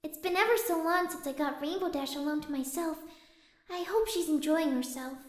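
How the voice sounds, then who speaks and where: A reader voicing a very high, sweet shy pony girl. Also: moderate pace, reverberant, close to an everyday voice. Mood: fretful, hopeful. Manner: soft, sweet, hesitant self-questioning. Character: shy, gentle young female pegasus pony, fretful and caring. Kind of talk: cartoon character in audiobook reading.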